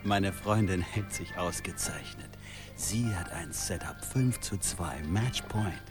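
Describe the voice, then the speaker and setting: A man's voice: sits low, takes it slowly, over music. Sheepish, flustered man. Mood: hushed. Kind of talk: radio drama acting.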